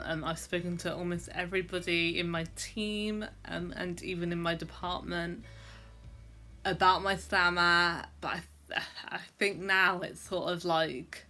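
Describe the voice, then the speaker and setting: A woman's voice: on the low side, bright, forceful tone. A young adult woman who stammers, talking reflectively to camera in a personal vlog, with frequent fillers and repeated sounds. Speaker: vlogger.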